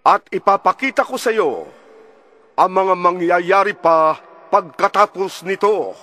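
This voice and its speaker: A man's voice: high-pitched, pushed and bright. A Filipino man playing a commanding heavenly voice that proclaims a summons in a scripture audio drama. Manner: slow, proclaiming.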